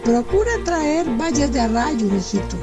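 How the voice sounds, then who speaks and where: Woman playing a slow, gentle old grandmother. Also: high voice, expressive, over music. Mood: affectionate. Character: kindly elderly grandmother, village seer. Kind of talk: radio drama acting.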